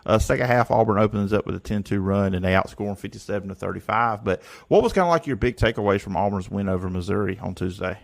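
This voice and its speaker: A man hosting a casual sports talk show from a studio, chatty and energetic. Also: on the low side, creaky, no echo. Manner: energetic, chatty, quick restarts. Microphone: studio microphone.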